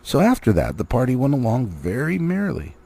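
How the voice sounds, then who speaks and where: Male storybook narrator, theatrical delivery with a husky edge and very animated intonation. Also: mid-range voice, fairly quick. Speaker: amateur storybook reader. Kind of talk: expressive audiobook reading of a fantasy novel.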